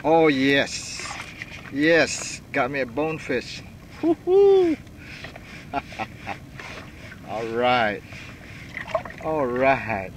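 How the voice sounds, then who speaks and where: A middle-aged man talks in short bursts, whooping and laughing, his voice jumping all over its range while he speaks slowly and haltingly. He is recorded by a camera on a kayak out on open bay water. Clear wind and water noise runs under everything. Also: mid-range voice, huge pitch swings, low-quality recording. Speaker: kayak angler filming himself. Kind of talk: live reactions while fishing. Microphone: action camera mounted on kayak.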